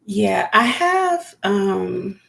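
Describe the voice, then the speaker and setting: A woman with a raspy voice chatting casually on a live stream as a homesteading vlogger, rambling and talking to viewers by name. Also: medium pitch, big pitch swings, drawn-out words, fairly bright tone. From California.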